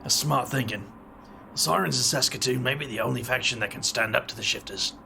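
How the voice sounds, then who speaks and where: A man's voice: medium pitch, a little fast, gravelly, pushed and bright, slight background noise. A man voicing a brooding, world-weary wizard investigator with a wry, dry way of talking. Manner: wry, brooding, muttering asides.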